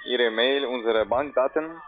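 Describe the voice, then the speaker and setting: Male phone salesman, medium pitch, foreign accent, halting and repetitive delivery, thin telephone sound. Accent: foreign accent in German. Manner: halting, broken non-native German with repetitions. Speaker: telephone sales caller pitching trading investments. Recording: narrow, tinny phone line.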